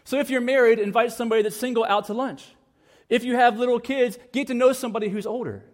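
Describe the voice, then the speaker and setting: A man's voice: extremely high pitch, steady tone, fast. A man from the United States preaching a sermon in church, earnest and repeating key phrases for emphasis. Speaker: pastor.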